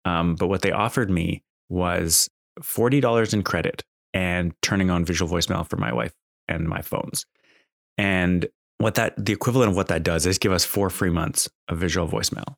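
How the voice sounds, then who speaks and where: Man, deep voice, dry close sound, casual podcast monologue, exasperated complaining tone. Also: normal speed, vocal fry, no room sound. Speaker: podcast host.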